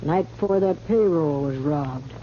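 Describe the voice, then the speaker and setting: A woman's low voice on a muffled, faintly hissy old radio recording. She is a worldly western saloon woman, shrewd and dry, talking matter-of-factly. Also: a little slow. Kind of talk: 1950s radio western acting.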